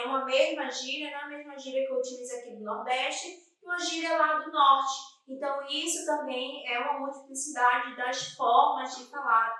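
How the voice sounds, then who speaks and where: A woman's voice: high-pitched, pushed and bright, very echoey, recorded from a distance, low-quality recording. A young woman from Northeast Brazil with a Northeastern Brazilian accent, teaching an exam-prep lesson and checking in with "tá?" after nearly every point. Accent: Northeastern Brazilian Portuguese. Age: young adult. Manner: explanatory, frequent "tá?" check-ins.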